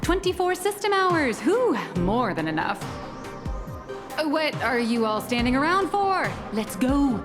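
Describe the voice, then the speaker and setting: A sly game heroine with a bright, somewhat high voice, teasing and self-assured. She switches between playful quips and confident asides. Her lines carry a long hall-like reverb, with soft game music under them. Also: sing-song, measured pace. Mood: breezy. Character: playful scheming young woman of many identities. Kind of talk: video game voice acting.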